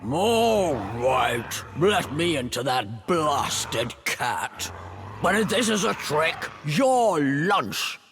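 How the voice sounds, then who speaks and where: A storyteller voicing a prideful, desperate male demon trapped in a stuffed cat, with gruff, barking, defiant delivery for a video game. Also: mid-range voice, very animated, very slow, background noise, heavily acted. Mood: desperate, grudging.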